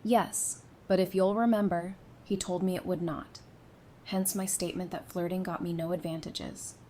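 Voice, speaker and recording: Wry, teasing woman as a quick-witted flirt, noisy recording. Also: steady tone, warm tone, lightly acted. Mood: dry, defensive. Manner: dry, teasing banter. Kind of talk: scripted audio drama acting. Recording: noisy track with low rumble.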